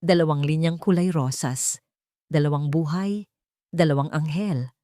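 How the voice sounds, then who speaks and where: Female storyteller, lower register, deliberate dramatic delivery, close dry recording. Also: mellow, dry recording. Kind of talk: scripted dramatic story narration.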